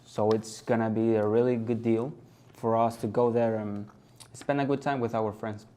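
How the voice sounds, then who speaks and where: A man's voice: lowish voice, fairly even intonation, warm tone. Young man. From Venezuela. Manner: halting, searching for words. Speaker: test candidate. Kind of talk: exam conversation.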